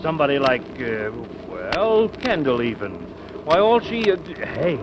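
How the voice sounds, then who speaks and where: High-pitched, pushy scheming pal. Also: male voice, very animated, measured pace. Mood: urgent scheming. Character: bridegroom's nervy, scheming, pushy male pal. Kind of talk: 1940s radio comedy acting. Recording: old radio broadcast with loud engine noise.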